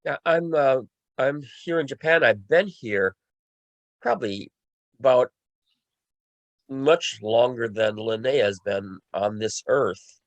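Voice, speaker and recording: A man in his sixties introducing himself informally as a panelist, speaking in a halting, measured way. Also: mid-range voice, no echo, highs cut off. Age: 60s. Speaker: conference panelist and language teacher. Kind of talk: informal self-introduction in a group discussion.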